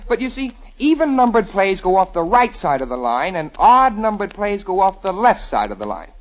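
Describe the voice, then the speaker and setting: An actor playing an earnest, exasperated American football player tutoring a teammate in a vintage comedy. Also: male voice, sits high, sing-song, moderate pace. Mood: patient. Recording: muffled old broadcast, studio audience.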